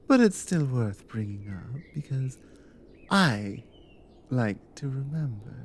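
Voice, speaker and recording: A woman playing a teasing, devoted Nordic adventurer wife in an intimate ASMR roleplay, speaking softly and often dropping into a whisper. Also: slight background noise, full character voice. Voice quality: soft, low, breathy with whispered stretches. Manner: teasing, unhurried, affectionate.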